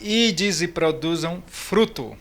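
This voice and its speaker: A man from northeastern Brazil with a Brazilian accent, interpreting a sermon with quick, fluent phrasing and small restarts. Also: a little high, animated, a bit bright. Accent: Brazilian Portuguese. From Ceará, Brazil. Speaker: missionary interpreter.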